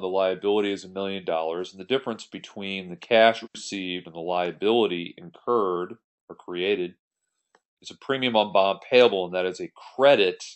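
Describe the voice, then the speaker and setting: A man giving an explanatory tutorial as an accounting instructor, working through an example step by step in a conversational way. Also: deep voice. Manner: step-by-step, conversational, poses questions then answers them. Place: voice-over on a screen recording.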